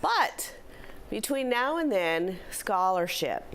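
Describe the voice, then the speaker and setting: A middle-aged woman, a philanthropy director, slightly hoarse, giving upbeat, chatty announcements in a studio interview. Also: medium pitch, sing-song, takes it slowly, a bit bright, faint music behind. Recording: faint background noise.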